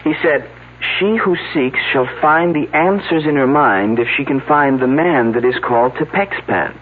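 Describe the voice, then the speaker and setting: A man's voice: medium pitch, moderate pace, lightly acted. An actor playing an earnest, slightly flustered young man from an archaeological dig, answering questions in a mystery drama. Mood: earnest, recounting. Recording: narrow, slightly noisy phone-like old broadcast audio.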